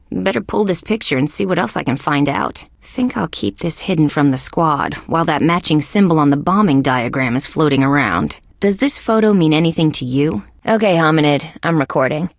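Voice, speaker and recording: A woman playing a wry, skeptical young female police detective in a video game, with a deadpan, sardonic delivery. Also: deep voice, fairly quick, creaky, no echo, studio quality. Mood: wistful, guarded, then businesslike questioning. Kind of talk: scripted game character lines.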